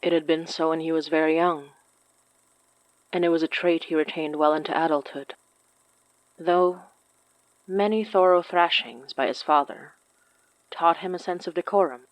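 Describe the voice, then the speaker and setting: A woman's voice: low-pitched, fairly even intonation, normal speed, pauses often, dry recording, low-quality recording. A woman hosting a fiction podcast, reading announcements and scripted story narration.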